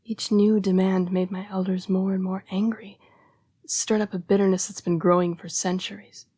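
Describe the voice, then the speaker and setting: A woman playing a young-seeming, formal and apologetic female vampire in an intimate audio roleplay, breathy and often dropping to a whisper. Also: mid-range voice, little pitch movement, warm tone, dry recording, studio quality, close to an everyday voice. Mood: somber, earnest. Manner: formal, polite, measured phrasing. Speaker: vampire council's correspondence assistant.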